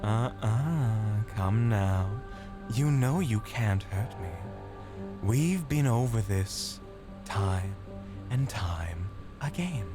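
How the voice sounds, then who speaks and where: A man's voice: fairly low pitch, animated, very slow, over music. A man voicing a malevolent inner demon in an audio drama, sinister and mocking as he goads his victim. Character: malevolent taunting male inner demon, a hallucination. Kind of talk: audio drama villain dialogue.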